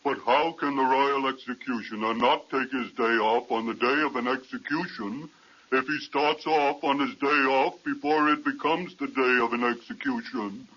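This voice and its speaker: A man's voice: mid-range voice, fairly even intonation, normal speed, a bit bright, natural voice. A man playing a polite, pedantic, rather dim royal official in an old-time comic radio serial. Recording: muffled hissy old radio recording.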